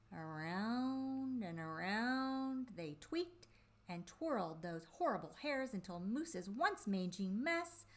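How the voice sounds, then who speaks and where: A woman reading a storybook aloud for young children, with deliberate, dramatic phrasing and drawn-out emphasis on key words. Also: mid-range voice. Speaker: children's storybook reader and presenter.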